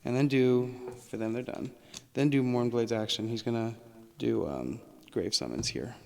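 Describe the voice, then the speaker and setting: A man's voice: medium pitch, moderate pace, creaky. A man teaching and playing a tabletop miniatures game, explaining the rules conversationally as he goes.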